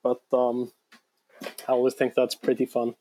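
Guest: young man from Iceland, mellow and relaxed, rambling with fillers. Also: medium pitch, moderate pace. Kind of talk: casual interview talk.

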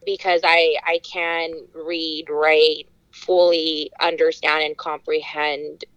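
A young woman from California, a podcast guest, telling childhood stories in a lively way and laughing often. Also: low voice, slow, clean tone, no echo, lo-fi sound. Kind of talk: casual chat.

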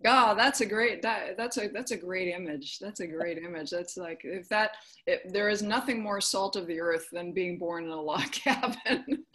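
A woman with a fairly low voice hosts a relaxed interview. She draws out her guest with encouraging remarks, quick agreement and easy laughter. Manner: encouraging, laughs often, affirming. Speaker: interview host. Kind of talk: conversational interview.

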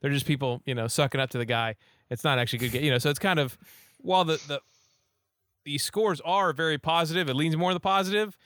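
A man hosting a casual game review podcast, talking in a relaxed, rambling way with lots of fillers. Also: a little high, fast talker, dry recording, studio quality. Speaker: podcast host.

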